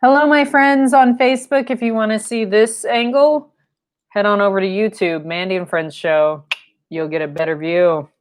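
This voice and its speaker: A young woman with a low voice, leading a live-stream painting lesson at home, chatty and rambling with fillers, recorded by a fixed camera mic in a room. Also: steady tone, normal speed. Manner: rambling and chatty, with fillers and playful sound effects. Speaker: painting instructor. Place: home studio room, indoors.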